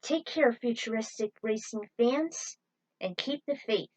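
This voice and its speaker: A woman's voice: a little high, a little slow, a little mellow, low-quality recording. A woman speaking as a fan fiction writer and channel host, addressing fans in an earnest, effusive way with author's notes and a sign-off.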